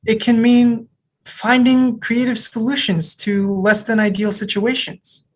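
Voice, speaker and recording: Woman playing a slow-paced, deadpan, easygoing co-worker. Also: on the high side, slight background noise, close to an everyday voice. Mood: earnest, thoughtful. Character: easygoing, frank, teasing new co-op hire Noah. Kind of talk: comedy table read.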